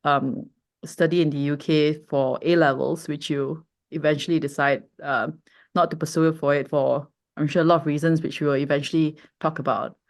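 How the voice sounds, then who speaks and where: A man's voice: a little high, steady tone, moderate pace. A man from Malaysia co-hosting a family podcast, chatting casually and prompting his sibling with curious questions.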